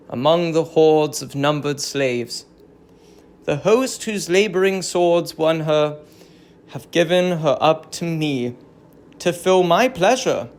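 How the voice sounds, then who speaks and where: A performer voicing a vengeful, tormented Greek king, declaiming halting archaic verse in a dramatic reading of a tragedy. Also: mid-range voice.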